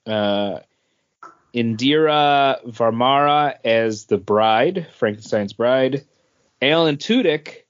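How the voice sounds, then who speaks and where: Male podcast co-host, casual and slow-paced, mid pitch, lo-fi recording. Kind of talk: casual chat about entertainment news. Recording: dull, lo-fi podcast audio.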